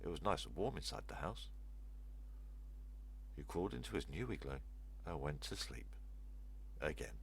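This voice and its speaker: A man's voice: rumbling low, expressive, moderate pace, vocal fry, long pauses, a little hiss or hum. An older man narrating a children's story aloud as a storyteller, in short simple sentences.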